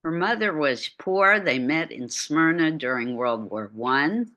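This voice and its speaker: Middle-aged woman, low bright voice, unhurried lecturer on a video call. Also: expressive, dull audio. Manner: slow, chatty asides while lecturing. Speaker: art lecturer. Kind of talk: online art lecture.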